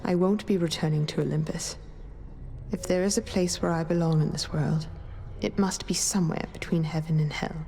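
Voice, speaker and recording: A weary, resolute queen with a lowish, mellow voice and plenty of fry. She reads softly and wistfully, as a letter voice-over in a fantasy game. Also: fairly even intonation. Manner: soft, measured, wistful.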